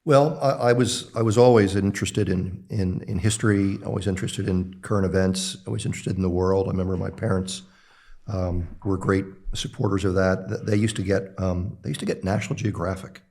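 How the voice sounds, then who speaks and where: A man's voice: low-pitched, fairly even intonation, brisk, darkish tone. An older man from the Philadelphia area, a national security expert, reminiscing as an interview guest with frequent ums and uhs. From Philadelphia area, Pennsylvania. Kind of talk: relaxed conversational interview.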